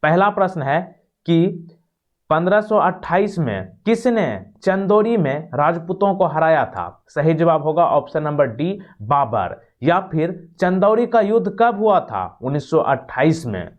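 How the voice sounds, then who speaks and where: A man's voice: on the high side, big pitch swings, unhurried, muffled recording. A man teaching in quiz format, explaining and repeating questions and answers like an exam-prep tutor. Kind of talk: quiz lesson, reading questions then giving answers.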